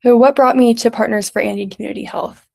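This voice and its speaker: A young woman from New York City, a student intern, giving a prepared slideshow presentation in a clear, methodical way. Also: a little high, fairly even intonation, fast, mellow. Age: young adult.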